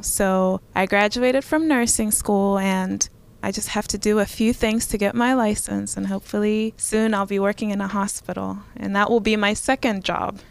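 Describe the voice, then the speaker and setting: A woman's voice: medium pitch, vocal fry, clear, resonant tone. A young adult woman from Zambia telling her own life story in a casual, reminiscing way.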